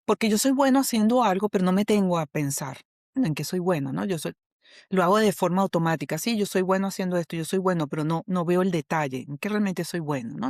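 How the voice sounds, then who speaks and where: A woman's voice: fairly low pitch, darkish tone, no echo, studio quality. A woman with a Venezuelan accent, an HR specialist and coach, explaining a model as a podcast guest in a patient, teacherly way, often checking that the listener follows. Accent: Venezuelan Spanish.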